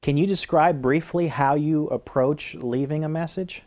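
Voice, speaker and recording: A man's voice: a little high, moderate pace, a little mellow. A man hosting an interview, delivering prepared introductions and questions. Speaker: host interviewer.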